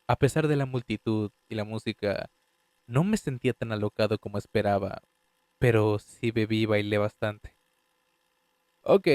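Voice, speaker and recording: A man's voice: lowish voice, dry recording, lightly acted. A man voicing a young male designer who gives a confessional, slightly defensive first-person statement in a fan dub audio drama. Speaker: voice actor reading a statement.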